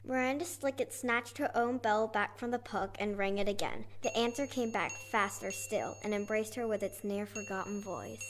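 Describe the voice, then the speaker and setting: A woman's voice: medium pitch, normal speed, few pauses, faint music behind. A young girl narrating a scripted radio play. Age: child or young performer.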